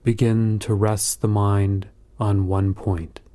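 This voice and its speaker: A man guiding a meditation in a calm, measured, soothing manner, with long pauses between instructions. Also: fairly low pitch. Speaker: meditation guide. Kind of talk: guided meditation narration.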